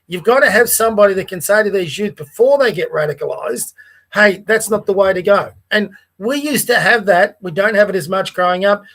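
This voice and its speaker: A man's voice: sits high, fairly quick, fairly bright tone. A man with an Australian accent hosting a casual political podcast, talking earnestly with frequent restarts and asides. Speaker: podcast host.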